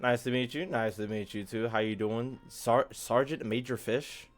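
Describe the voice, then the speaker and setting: A man's voice: medium pitch, fairly even intonation, moderate pace, soft background music. A young man giving casual, rambling livestream gaming commentary, slangy and full of swearing. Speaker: game streamer.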